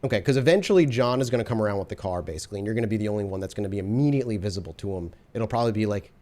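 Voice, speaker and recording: A man's voice: fairly low pitch, lively intonation, racing, warm tone. A man running a tabletop horror game as storyteller, narrating the action vividly and explaining the rules patiently to his players.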